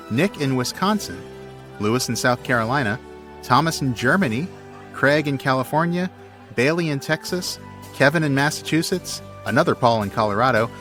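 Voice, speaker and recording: A man with a mid-pitched voice speaks as a podcast co-host. His delivery is casual, suited to banter and sign-offs. Faint music plays behind him. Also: a bit bright.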